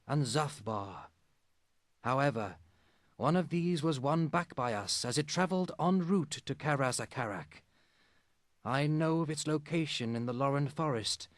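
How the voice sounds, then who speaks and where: An actor playing a solemn, scholarly male elf in a fantasy video game, speaking formally and with measured gravity. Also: a little high, dry recording, studio quality.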